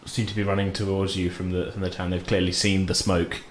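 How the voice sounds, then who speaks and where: A man improvising narration as a tabletop game master in an informal, halting way. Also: deep voice, level tone. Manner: halting, informal, hedging descriptions. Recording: roomy, light background noise.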